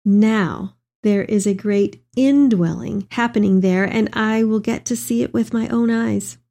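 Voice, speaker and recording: A woman's voice: medium pitch, a little slow, vocal fry, warm tone. A woman playing an elderly, devout mother in a dramatic reading of letters to her son, with tender, heartfelt delivery. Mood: tender, hopeful. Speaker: dramatic reader. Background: music.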